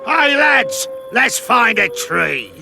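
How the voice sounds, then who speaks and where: A man's voice: extremely high pitch, big pitch swings, slow pace, creaky, noisy background, heavily acted. A man voicing a coarse, jeering soldier in a video game. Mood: jeering, hostile. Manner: coarse, taunting. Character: brutal, jeering rough soldier, grown man.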